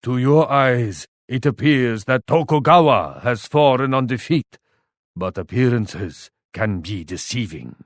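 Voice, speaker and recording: An actor playing a proud, menacing shogun warlord in a video game, speaking in a haughty, formal, theatrical way. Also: male voice, medium pitch, animated, unhurried, no room sound, clean studio sound. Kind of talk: video game character taunts.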